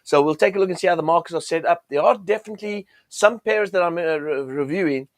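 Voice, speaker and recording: A man with a cold, his voice a little croaky, hosting a chatty forex chart session with lots of fillers and restarts. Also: a little high, quick pace, no room sound, clean studio sound. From United States. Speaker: forex trading show host. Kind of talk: casual chart commentary.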